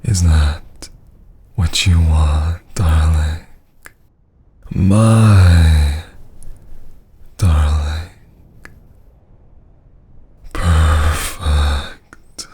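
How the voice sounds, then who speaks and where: A man voicing a domineering, seductive vampire lord in an intimate ASMR roleplay, with a husky, often half-whispered voice and a teasing, condescending manner. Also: extremely low pitch, steady tone, dark tone, exaggerated voice. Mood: hungry, pleased. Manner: slow, teasing, condescending drawl.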